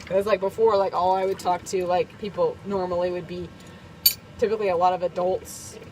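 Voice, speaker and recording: A woman's voice: fairly low pitch, flat delivery, brisk, noisy background. Bubbly giggly young woman. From Kentucky, USA. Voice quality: bubbly, giggly, lots of fillers. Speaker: vlogger. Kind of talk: casual chat while cooking. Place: camper kitchen.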